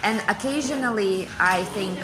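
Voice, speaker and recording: A woman's voice: mid-range voice, moderate pace, music in the background, low-quality recording. A woman in her forties from Kazakhstan, a guest giving fluent, emphatic interview answers and repeating phrases for effect. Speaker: interview guest, business speaker.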